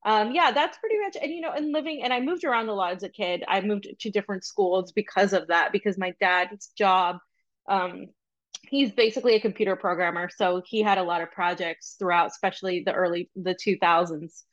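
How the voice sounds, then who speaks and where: Chatty young woman, slightly dull audio. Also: medium pitch. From Peru, raised in Florida. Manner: chatty and rambling, with many ums and quick asides. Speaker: podcast guest, journalist. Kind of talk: casual interview talk.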